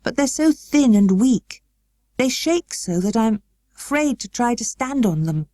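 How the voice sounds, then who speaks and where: Woman as an eager, high-voiced imperious young boy. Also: big pitch swings, unhurried, warm tone, no echo, studio quality. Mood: wondering, hesitant. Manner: eager, emphatic, well-spoken. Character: imperious, excitable frail young boy of the gentry. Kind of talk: audiobook character acting.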